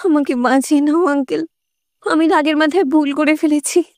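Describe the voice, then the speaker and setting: A desperate young woman in love, played by an actress speaks urgently and pleadingly in an audio story. Her voice is very high, recorded dry without room sound. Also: fairly even intonation, normal speed, a little mellow, no room sound, natural voice. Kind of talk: audio story character acting.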